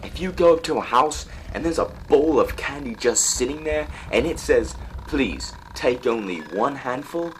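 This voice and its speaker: A man's voice: medium pitch, lively intonation, normal speed, slight background noise, low-quality recording. A man hosting casually and comically, speaking to viewers in a mock-earnest, exclamatory way. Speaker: host.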